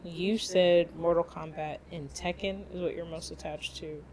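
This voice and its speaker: A woman's voice: on the low side, fairly even intonation, normal speed, darkish tone. A woman co-hosting a podcast, chatting casually with lots of fillers and frequent laughter. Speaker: podcast co-host. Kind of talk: casual chat.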